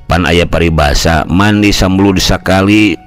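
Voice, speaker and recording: A man's voice: low-pitched, fairly even intonation, soft background music, pitched below the performer's own voice, close to an everyday voice. A man voicing a pious old village uncle who explains things patiently and reflectively in a Sundanese storytelling recording. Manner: slow, sage-like explaining with proverbs.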